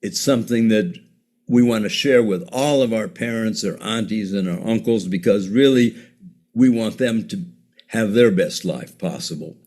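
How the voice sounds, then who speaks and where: Male presenter, halting sales pitch with plenty of ums and restarts. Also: a little mellow. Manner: hesitant, many fillers and restarts.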